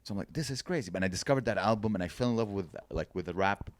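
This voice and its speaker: A man's voice: on the low side, rapid-fire, a little hiss or hum. A man from Lebanon, a comedian guest on a podcast, telling stories in casual banter and breaking into mocking impressions. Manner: animated, mocking impressions and bursts of laughter.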